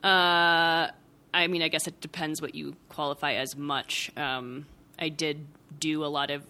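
Bright-voiced young woman musing aloud. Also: low-pitched, fairly even intonation, measured pace. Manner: thoughtful, rambling, many fillers and soft laughs. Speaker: podcast guest, writer. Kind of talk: casual reflective conversation.

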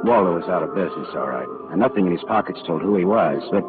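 A muffled old-time radio drama with loud music behind. a sardonic American private eye with a low voice, telling his case in tough, hard-boiled, wry narration. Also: animated, quick pace. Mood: terse, matter-of-fact.

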